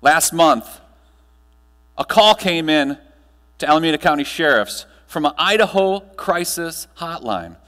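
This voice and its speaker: A man giving a motivational keynote to a live audience, telling stories with dramatic pauses and emphatic delivery. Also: a little high, expressive, fairly bright tone. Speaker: keynote speaker. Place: large room, live audience, amplified. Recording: echoey hall, long reverb.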